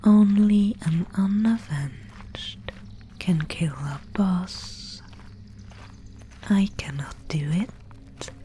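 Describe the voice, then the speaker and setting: A woman performs a mysterious maiden who guides lost souls in a dark fantasy audio roleplay. Her breathy whisper is low and mellow, with a solemn, hushed manner. She speaks extremely slowly, leaving deliberate pauses. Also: a little hiss or hum. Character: mysterious female Spindlemaid guide in a gloomy dark fantasy realm.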